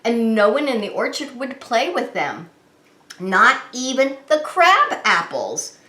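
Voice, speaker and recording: A woman preschool teacher leading story time, talking to young children in an animated, sing-song way with questions she answers herself. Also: fairly high pitch, takes it slowly. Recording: roomy, echoing indoor sound.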